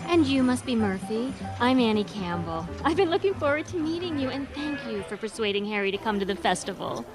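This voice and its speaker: Actress as eager lodge hostess, higher female voice, gracious and effusive, radio drama over music. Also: lively intonation, a little fast, close to an everyday voice. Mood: delighted, effusive. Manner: eager, hospitable. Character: effusive, gracious woman hosting guests at her lodge.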